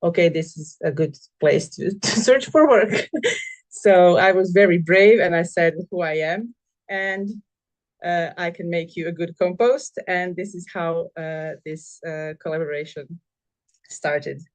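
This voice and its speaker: A middle-aged woman from Croatia with a Croatian accent gives an informal presentation of her own work, pausing and searching for words as she goes. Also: fairly low pitch. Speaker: compost practitioner and agricultural school project manager, presenting.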